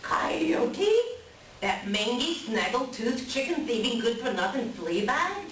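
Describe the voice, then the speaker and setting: A woman playing an excitable, indignant raven with theatrical exclamations and harsh caws in a readers theater folktale. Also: a little high, slow. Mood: scornful, indignant. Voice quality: harsh cawing outbursts. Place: library room at a live reading. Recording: roomy, distant live recording with noise.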